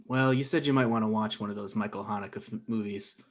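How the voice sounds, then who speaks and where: A man's voice: on the low side, steady tone, fairly quick. A man in his thirties playing a needy, wounded, blustering dumped boyfriend in a table read over a video call. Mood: pleading, hurt. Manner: pleading, blustering. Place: indoor room on a video call.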